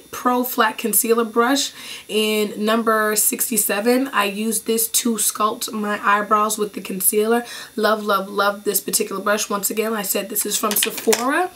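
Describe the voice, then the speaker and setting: A young woman with a hoarse voice after being ill, chatting enthusiastically through a beauty product review in a small bedroom. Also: medium pitch, fairly bright tone. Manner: chatty, enthusiastic, repeats praise. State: hoarse, losing her voice after being sick. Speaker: beauty vlogger. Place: small bedroom with clothes racks behind.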